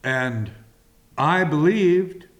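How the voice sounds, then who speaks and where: A man preaching a communion sermon slowly and deliberately, pausing between phrases. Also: a little high, animated. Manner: slow and deliberate, with long pauses.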